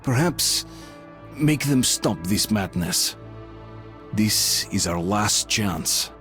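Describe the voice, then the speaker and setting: An actor playing an earnest, hopeful male survivor in a video game, explaining things in a measured way. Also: fairly low pitch, expressive. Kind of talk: dramatic video game acting. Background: music.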